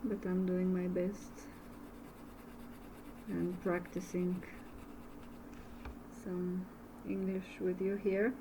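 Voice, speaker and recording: A woman from Bulgaria with a Bulgarian accent, a native Bulgarian speaker, teaching an art method in a casual demo and often pausing to search for words in English. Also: on the low side, level tone, background noise. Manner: slow, halting, searching for words. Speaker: art instructor.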